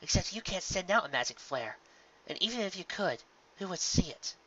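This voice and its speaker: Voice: medium pitch, animated, a little fast, a bit bright, breathy edge, muffled recording, voice pushed up. A reader voicing a sensible female pony who cautions a friend earnestly, in a story reading. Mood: worried, cautioning.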